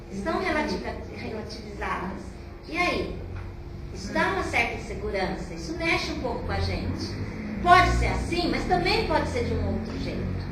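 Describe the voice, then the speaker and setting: A woman with a high voice that rises and falls a lot, speaking emphatically and repeating words for rhythm. She is taking part in a group discussion. The sound is echoey and noisy, picked up from far off. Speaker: discussion participant. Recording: echoey room, distant mic, noisy.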